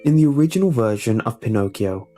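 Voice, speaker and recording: A male narrator with a dark, relaxed voice of average pitch reads a script in documentary style. His delivery is measured and polished, and music plays behind him. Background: background music.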